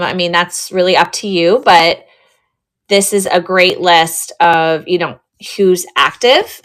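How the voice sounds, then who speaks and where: A woman's voice: fairly low pitch, a bit bright. A woman hosting a casual live sale stream, chatting with viewers and thinking aloud as she goes. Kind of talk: casual livestream chat with viewers.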